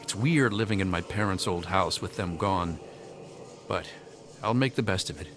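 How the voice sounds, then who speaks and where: A man's voice: sits low, moderate pace, lightly acted. Actor as subdued young townsman, reflective. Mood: subdued, hopeful. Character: young man, orphaned townsman, troubled and subdued. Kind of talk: video game dialogue.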